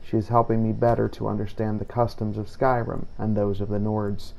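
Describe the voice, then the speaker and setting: A man reading a scripted in-character journal of a fantasy game playthrough in a solemn, measured storytelling manner. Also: fairly low pitch, little pitch movement, vocal fry, warm tone, rough recording. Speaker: player narrating a role-play playthrough.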